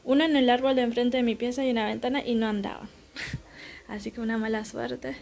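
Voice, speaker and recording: A woman's voice: fairly high pitch, little pitch movement, fairly quick, vocal fry, a little mellow, soft background music. A young woman chatting casually, meandering and filler-heavy, while showing her home decorations on a handheld phone. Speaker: vlogger. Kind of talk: casual home tour vlog. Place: small home living room. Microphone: handheld phone camera.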